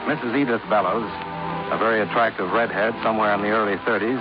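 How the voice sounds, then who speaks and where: A dull old radio recording with music carries a man acting a wry American insurance investigator. His voice sits a little low. He is clipped, businesslike and dry, in classic fifties detective-drama style. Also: fairly even intonation, quick pace, close to an everyday voice. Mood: dry, matter-of-fact. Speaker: lead actor and narrator.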